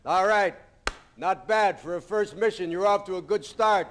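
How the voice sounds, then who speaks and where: An actor playing a stern, gruff Navy commander who briefs pilots in a clipped, authoritative way in a video game. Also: male voice, sits high, normal speed, a bit bright, a little hiss or hum. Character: stern, gruff male Navy commander and flight school instructor. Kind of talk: acted video game cutscene dialogue.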